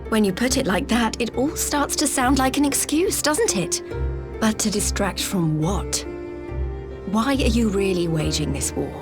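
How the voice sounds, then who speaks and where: A woman's voice: mid-range voice, animated, measured pace, natural voice. Woman playing a pointed, sceptical challenger over music. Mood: accusing. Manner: pointed, questioning. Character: sharp, sceptical woman pressing for truth. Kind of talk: video game dialogue.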